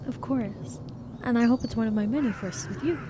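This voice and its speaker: High-voiced sassy, anxious young woman hiker, played by an actress. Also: a little fast, noisy background, lightly acted. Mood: teasing, eager. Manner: slangy, teasing, expressive. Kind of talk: naturalistic audio drama acting. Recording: insect and outdoor ambience behind.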